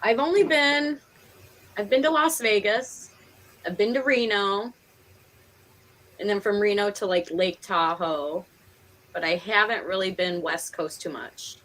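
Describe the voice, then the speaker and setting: A chatty woman with a Northeastern American accent hosts a live stream. Her voice sits at an average pitch. She speaks casually and conversationally, with a giggly streak. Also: unhurried, pauses often, fairly bright tone, low-quality recording. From Pennsylvania and New York, United States. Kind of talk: casual chat.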